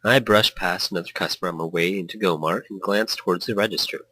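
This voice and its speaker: A man's voice: sits low, steady tone, fast talker, pushed and bright, rough recording. A man reading a story aloud and chatting casually as a let's player, prone to rambling. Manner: hyped, tangent-prone. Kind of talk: narration mixed with casual rambling commentary.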